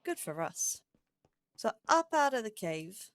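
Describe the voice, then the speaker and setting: A woman's voice: fairly high pitch, big pitch swings, takes it slowly, dry recording, studio quality. A woman giving casual let's play commentary as the host of a gaming series, rambling and thinking aloud as she goes.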